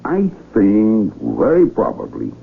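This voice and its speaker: A man playing a resentful, self-important village doctor in a radio drama, with a gravelly voice and emphatic, deliberate delivery. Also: lowish voice, wildly swooping pitch, full character voice. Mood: pompous, clinical. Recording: dull, hissy 1970s radio recording.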